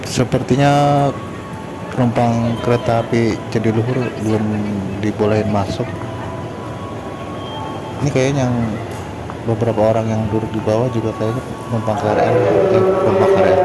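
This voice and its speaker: A man with a hoarse voice, chatting casually through a travel vlog at a train station. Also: fairly low pitch, normal speed, pauses often, poor audio. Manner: relaxed, chatty commentary on surroundings. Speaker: travel vlogger. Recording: loud background music.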